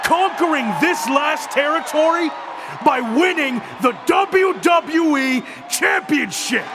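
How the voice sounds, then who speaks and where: An actor playing a cocky wrestler who cuts an emphatic, boastful ring promo in a game cutscene. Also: male voice, extremely high pitch, lively intonation, slow pace, shouting, over loud music, over a noisy crowd, exaggerated voice. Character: cocky young male wrestler making a comeback.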